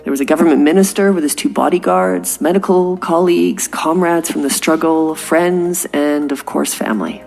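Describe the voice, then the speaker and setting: A woman's voice: sits low, normal speed, vocal fry, few pauses, a little mellow, soft background music. A Canadian woman in her forties hosting a podcast, telling a personal story in an earnest, reflective way. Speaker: podcast host. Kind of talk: scripted podcast monologue.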